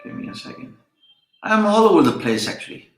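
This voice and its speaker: A man's voice: high-pitched, very animated, fairly quick, soft background music. Chatty man lecturing in an echoey room. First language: Urdu. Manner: rambling, chatty, many fillers and restarts. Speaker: online freelancing instructor. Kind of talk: casual live-stream lecture.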